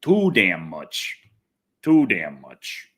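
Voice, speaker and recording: Animated man, wry and exasperated. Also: deep voice, very slow. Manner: wry, exasperated, sweary griping. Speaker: livestream host. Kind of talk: casual rambling livestream chat, reading comments.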